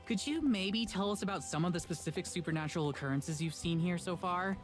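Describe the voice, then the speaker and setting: A man's voice: high voice, a little fast, faint music behind, pitched above the performer's own voice, lightly acted. A man voicing an eager, curious young woman while reading a visual novel aloud.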